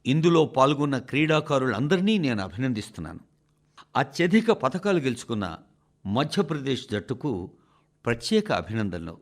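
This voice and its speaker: A man reading a scripted, formal radio address in speech, phrasing it in a measured, emphatic way with deliberate pauses. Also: medium pitch, big pitch swings. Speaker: radio reader of a translated address.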